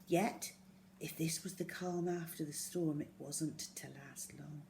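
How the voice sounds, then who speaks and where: A woman reading a children's story aloud in an expressive way, acting out the words as she goes. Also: low voice, measured pace, vocal fry, slight background noise. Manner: expressive, acts out dialogue and sound words.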